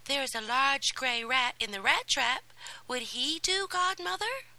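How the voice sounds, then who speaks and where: A sweet, timid young girl speaks softly and eagerly in a high, light voice, as a woman narrator plays her. The tone is bright and lively, rising and falling a great deal. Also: unhurried, no room sound, full character voice. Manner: meek, eager, sometimes breathless. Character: poor, gentle young servant girl Cinderella.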